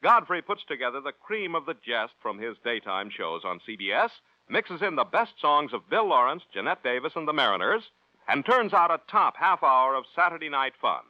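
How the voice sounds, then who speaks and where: A man's voice: mid-range voice, big pitch swings, normal speed, no echo. A male network radio announcer reading credits and a promo in polished 1940s style. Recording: dull vintage radio recording.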